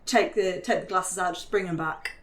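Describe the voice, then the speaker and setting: A woman's voice: fairly low pitch, moderate pace. A woman casually describing her character's actions as a tabletop player, with loose fillers. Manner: relaxed, with fillers like "like" and "kind of".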